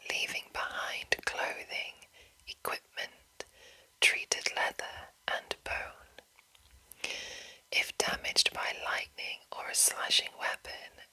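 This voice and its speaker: A woman hosting ASMR, chatting and reading aloud in a soft, breathy, unhurried whisper. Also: poor audio. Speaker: ASMR host.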